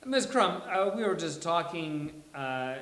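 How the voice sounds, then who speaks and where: A man's voice: on the high side, sing-song, takes it slowly, a bit bright, exaggerated voice. A man playing a sardonic, put-upon lawyer in a live sitcom table read. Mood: nervous, dry. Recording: echoey room, hissy background.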